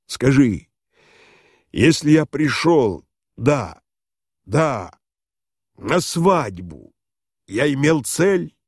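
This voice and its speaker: A man voicing a drunken, humiliated high-ranking general in an audiobook, pompous and pleading, with halting, repetitive speech. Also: darkish tone, slightly rough, dry recording, clean studio sound, exaggerated voice.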